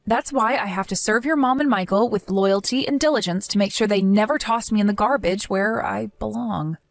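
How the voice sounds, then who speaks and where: A woman's voice: medium pitch, a little fast, vocal fry, no room sound, lightly acted. A woman voicing a timid young daughter-in-law who speaks in a meek, apologetic way. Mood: despairing.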